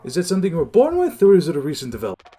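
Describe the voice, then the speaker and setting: An actor playing an affable, responsible spaceship captain in a game dub, with a casual, reassuring manner. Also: male voice, a little high, huge pitch swings, very fast, mellow, breathy edge, faint music behind, full character voice. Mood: playful teasing. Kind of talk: game fandub voice acting.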